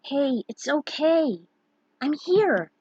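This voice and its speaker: Young aspiring sky pirate, male, gentle and wistful, storybook reading, played by a woman. Dry sound. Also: sits high, sing-song, extremely slow, dry recording, highs cut off, voice pushed up, exaggerated voice. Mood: reassuring, eager.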